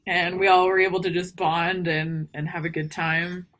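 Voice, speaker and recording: A woman's voice: on the low side, little pitch movement, moderate pace, a bit bright, poor audio. A young woman from Texas with a slight Texas accent, co-hosting a podcast in a chatty, giggly way with plenty of ums. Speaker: podcast co-host. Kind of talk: casual podcast chat.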